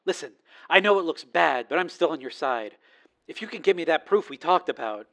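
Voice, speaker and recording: Guy doing a woman news reporter in an audiobook, earnest, insistent, bright voice w a bit of creak. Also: fairly high pitch, lively intonation, a little fast, pitched above the performer's own voice. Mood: earnest, determined. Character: determined female TV news reporter, earnest, loyal.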